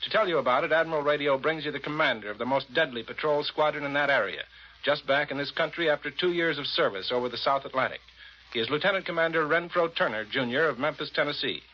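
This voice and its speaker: A man's voice: a little high, quick pace, muffled recording. An American man working as a radio news reporter and interviewer, in scripted 1940s broadcast style.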